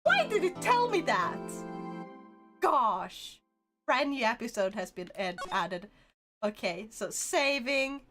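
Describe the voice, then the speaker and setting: A woman's voice: fairly high pitch, sing-song, slow pace, pushed and bright. A young woman streaming a game, chatting casually and bubbly with her viewers on a desk microphone, with game music behind her. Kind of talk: casual live-stream chat.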